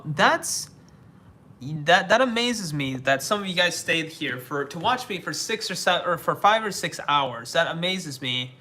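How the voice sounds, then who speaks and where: A young American man livestreaming from Asia, reading viewer comments aloud and answering them in a casual, halting way. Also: a little high, bright, forceful tone. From United States. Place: living somewhere in Asia.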